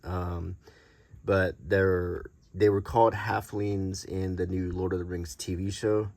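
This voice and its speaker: A man hosting an unscripted, ASMR-like show-and-tell in a halting, casual way. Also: deep voice, little pitch movement, darkish tone. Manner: halting, unscripted, hesitant delivery. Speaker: host.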